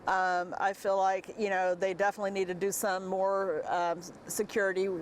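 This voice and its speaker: A woman giving a halting, hesitant, unscripted answer in an interview. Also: medium pitch, flat delivery, a little hiss or hum. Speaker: parent interviewee.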